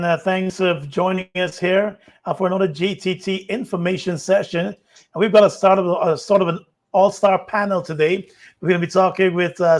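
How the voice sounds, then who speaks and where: A middle-aged man hosting a live online panel, relaxed and hesitant with many fillers. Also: high voice. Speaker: host. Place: indoors in front of a green screen.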